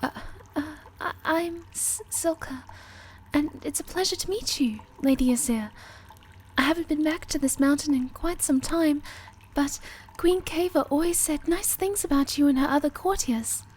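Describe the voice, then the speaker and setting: Woman acting shy young moth girl, high sweet voice, nervous, polite, flustered, fantasy ASMR roleplay, faint background noise. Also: normal speed, lightly acted. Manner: nervous stammering, polite, soft-hearted.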